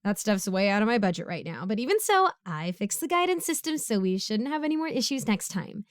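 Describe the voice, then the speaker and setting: A woman's voice: medium pitch, very animated, brisk, clean tone, dry recording, studio quality, natural voice. A woman playing a broke, bumbling, chatty supervillain neighbor in a casual audio roleplay, rambling awkwardly as she pleads and justifies herself. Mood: nervous reassurance.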